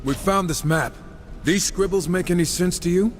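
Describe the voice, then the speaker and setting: A man's voice: high-pitched, moderate pace, slight background noise, natural voice. A man playing a hot-headed, sarcastic warrior in a game cutscene, wry and swaggering. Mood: wry, grim. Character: cocky, sarcastic, hot-headed male horseman warrior gunslinger. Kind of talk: video game cutscene acting.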